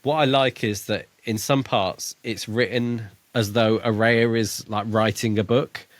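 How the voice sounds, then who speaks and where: A man's voice: on the low side, fairly even intonation, measured pace, dry recording. A man co-hosting a podcast, talking through a book in casual chat, hesitant and musing, now and then acting out lines with a laugh. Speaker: podcast co-host.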